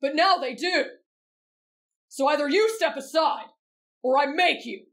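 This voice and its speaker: A woman's voice: high-pitched, a little slow, fairly bright tone. A woman voicing a brash, blunt, rowdy teenage boy fighter in an anime roleplay, cocky and challenging. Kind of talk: anime fan roleplay audio drama.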